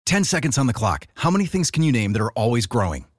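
A man delivers a scripted ad in a conversational style. His mid-pitched voice is very animated in intonation, recorded close and dry. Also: fast talker, breathy edge, no echo. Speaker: advertisement announcer. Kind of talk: conversational scripted commercial read.

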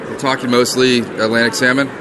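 Man, interviewer, mid-pitched voice, joking, rambling, noisy street recording. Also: little pitch movement, a little fast. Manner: chatty, joking, rambling questions. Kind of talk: casual interview at an outdoor event.

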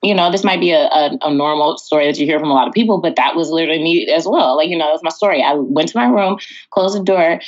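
An American woman with a fairly low voice talks as a guest in a casual interview. She tells her stories chattily and slips into quoted speech. The sound is a little dull and lo-fi. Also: fast talker. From Texas, United States. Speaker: interview guest, musical theater performer. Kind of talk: casual conversational storytelling.

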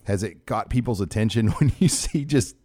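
A man's voice: on the low side, moderate pace, mellow, no room sound, studio quality. A middle-aged man hosting a sports talk show, asking questions in a chatty, enthusiastic way. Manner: enthusiastic, chatty, some stumbles. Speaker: radio show host.

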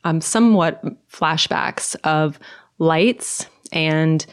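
A middle-aged woman from Norway with a Norwegian accent, soft-spoken and hesitant, telling her personal story in a conversation. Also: sits low, drawn-out words, smooth. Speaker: guest.

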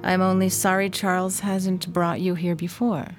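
An actress playing a strange, quiet housewife in a radio drama, speaking softly and detached. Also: female voice, lowish voice, flat delivery, normal speed, soft background music, close to an everyday voice. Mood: eerily calm. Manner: soft, eerie and detached.

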